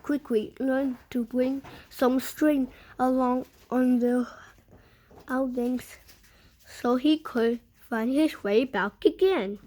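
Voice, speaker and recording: Woman, high pitch, very slow pace, picture-book read-aloud, short phrases with pauses. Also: steady tone, dark tone. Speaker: storybook reader.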